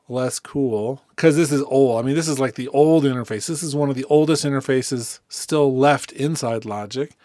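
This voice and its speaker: A man giving a casual, conversational software walkthrough as a tutorial host. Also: mid-range voice, dry recording, clean studio sound. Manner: informal, conversational.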